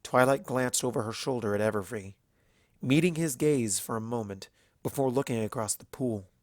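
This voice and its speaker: Male fiction narrator, mid-pitched, solemn and measured, dry recording. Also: dry recording. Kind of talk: scripted dramatic fiction narration.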